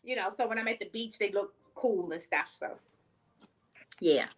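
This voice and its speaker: A woman's voice: medium pitch, normal speed. A woman with a Baltimore accent hosting a casual podcast, laughing often as she reads viewer comments aloud. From Baltimore, USA. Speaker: podcast host. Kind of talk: casual live-stream chat.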